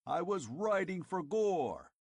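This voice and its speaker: A man's voice: sits high, animated, measured pace, no echo, clean studio sound, voice pushed up, exaggerated voice. A man voicing a blunt, exasperated barbarian warrior who roars his words. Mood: roaring. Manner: bellowing, blunt.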